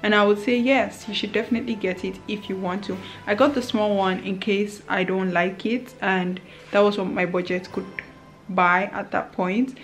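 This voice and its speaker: A woman's voice: mid-range voice, fairly even intonation, normal speed. A young woman in her twenties chatting casually to camera in a vlog, often laughing and talking straight to her viewers. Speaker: vlogger. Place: apartment indoors, later outdoors in a city. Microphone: handheld camera at arm's length.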